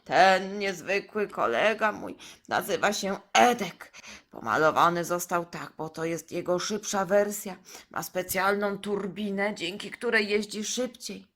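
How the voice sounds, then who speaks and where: A woman's voice: mid-range voice, measured pace, a bit bright, pitched below the performer's own voice. A woman voicing a kindly male elder who patiently explains his toys in a children's play story. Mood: proud, patient.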